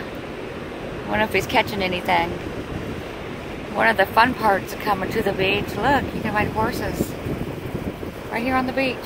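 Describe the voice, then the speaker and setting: A woman casually narrating a camping trip outdoors, chatty and quick to laugh, filming on a phone. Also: medium pitch, gravelly. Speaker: vlogger. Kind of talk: casual travel vlog narration. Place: outdoors at a windy campground and beach. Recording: loud wind on the mic.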